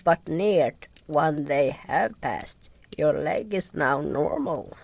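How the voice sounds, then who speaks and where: A man's voice: fairly high pitch, animated, vocal fry, voice pushed up, full character voice. A man voicing a weary old amphibian creature in a fantasy audio story, talking in a plain, grumbling way with odd grammar. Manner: slow, plain, complaining talk with odd grammar.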